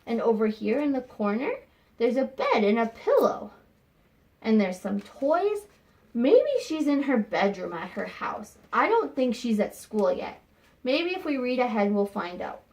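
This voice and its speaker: A woman teacher reading a picture book aloud to young students, expressive and pausing to think aloud with questions for them. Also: on the high side, poor audio. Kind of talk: read-aloud lesson with questions to children.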